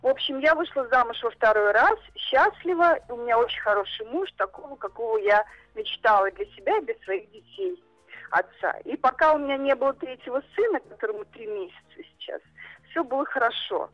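A woman in her thirties speaks over a dull telephone line, with little room sound. Her voice is fairly high. She is a caller hesitantly explaining a personal problem on air. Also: expressive, brisk, dry recording. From Russia. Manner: hesitant, searching for words. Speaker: radio phone-in caller. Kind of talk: spontaneous account of a personal problem. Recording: dull phone line into radio show.

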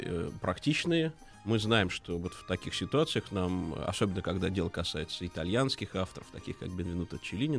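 A man hosting a live radio talk show in speech, conversational and animated, repeating phrases for emphasis. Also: low-pitched, creaky. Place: radio studio.

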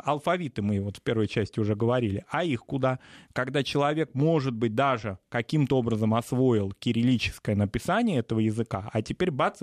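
A man speaking in a studio discussion, measured and emphatic, his pitch rising on key points. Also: no echo.

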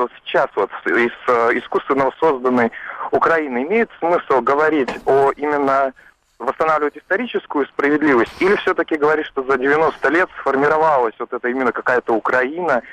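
A man calling in to a radio show to ask a question, speaking in a halting way with many fillers. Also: medium pitch, a bit bright, no room sound, rough recording. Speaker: caller.

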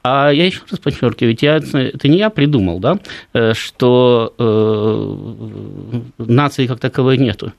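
A man's voice: mid-range voice, a little slow, gravelly, dry recording. Guy on a talk show, lots of ums and restarts, dry close sound. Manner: hesitant, many fillers and restarts. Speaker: studio guest. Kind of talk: political talk show discussion. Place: studio.

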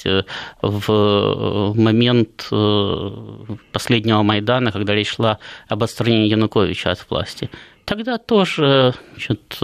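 Male pundit, fifties, talk-show guest; halting, digressive analysis with anecdotes, very dry close sound. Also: mid-range voice, no echo. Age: early 50s. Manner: discursive, hesitant, full of long hesitations and parenthetical asides. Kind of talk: unscripted political analysis with anecdotes.

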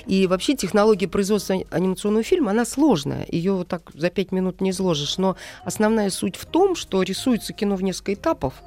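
A woman's voice: mid-range voice, big pitch swings, quick pace, hardly any pauses, darkish tone. A woman speaking as an interview guest, explaining her craft in an emphatic storytelling way. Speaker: animation film director, studio guest.

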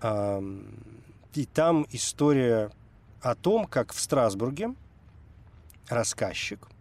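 A man presenting on the radio, telling stories and talking about literature in an expressive way. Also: medium pitch, slow. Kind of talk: expressive storytelling and literary talk.

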